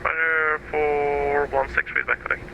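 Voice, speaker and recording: A man's voice: fairly high pitch, flat delivery, unhurried, vocal fry. A man working as an air traffic controller, giving clipped, formal procedural instructions over aviation radio. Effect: aviation radio transmission. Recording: muffled, noisy aviation radio channel.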